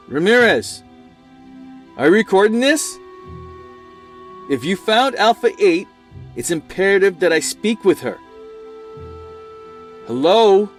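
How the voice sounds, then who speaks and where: A man acting an obsessed researcher held captive, agitated and musing aloud to himself in an audio drama monologue. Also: fairly high pitch. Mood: urgent, pleading. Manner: agitated, musing aloud, swings from murmurs to shouts.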